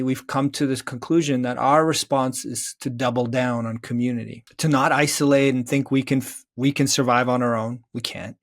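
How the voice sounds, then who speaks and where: A middle-aged man talking reflectively as a podcast guest, earnest, building his points in emphatic, repeated phrases. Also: mid-range voice. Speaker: guest, children's story author. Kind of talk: reflective podcast interview conversation.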